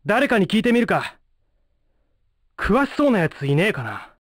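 Brash young ninja, swinging pitch. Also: male voice, big pitch swings, moderate pace, slight background noise. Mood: pondering, gruff. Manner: rough, slangy, blunt. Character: brash, rough-talking young male ninja with presidential ambitions. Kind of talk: video game voice acting.

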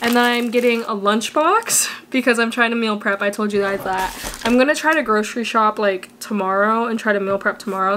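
A woman's voice: medium pitch, a bit bright, slight background noise. A young woman vlogging in a chatty, bubbly, rambling way. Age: young adult. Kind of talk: casual vlog chat.

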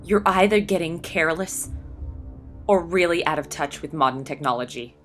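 A woman's voice: sits low, expressive, normal speed, fairly bright tone. An actress playing a steely, confrontational mother and reporter in an amateur audio drama. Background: clear background music.

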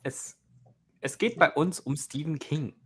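A man's voice: on the high side, measured pace, breathy edge. A man in his twenties hosting a casual book chat over a video call. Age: 20s. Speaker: livestream host. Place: home room with bookshelves, indoors. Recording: loud noise, clicks, video-call audio.

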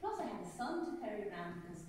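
A middle-aged English woman, an author and storyteller, telling lively, self-mocking stories to a seated audience at a writers' event. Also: mid-range voice, very fast. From England. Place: large glass conservatory with a seated audience. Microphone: podium mic heard from the back of the room. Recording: echoey hall from far off, noisy.

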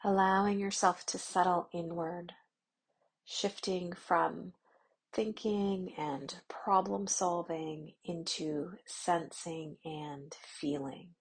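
Slow breathy woman guiding meditation. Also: fairly low pitch. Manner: slow, soft, soothing with long pauses and partly whispered phrases. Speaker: meditation guide. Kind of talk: guided yoga nidra meditation.

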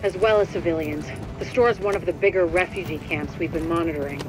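Actor as a high-voiced, urgent male briefing officer. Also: a little fast, natural voice, rough recording. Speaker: mission briefer. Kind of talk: mission briefing. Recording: clear noise behind voice.

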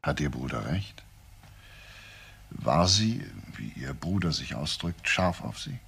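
Male investigator, radio drama: deep, husky, formal and probing. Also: expressive, slow pace, a bit bright, full character voice. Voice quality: gravelly, husky. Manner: questioning, formal. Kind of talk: naturalistic German crime radio drama acting.

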